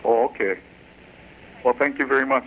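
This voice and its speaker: Polite male caller, low voice, muffled line. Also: normal speed, creaky. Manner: polite, brief questions.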